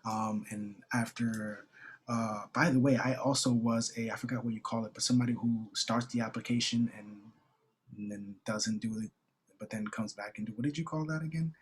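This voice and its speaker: A man's voice: mid-range voice, fairly even intonation, normal speed, breathy edge. A young man from New York on a video call, telling of his grant year in a casual, hesitant way, with many fillers and restarts. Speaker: former grantee. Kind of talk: casual online info session talk.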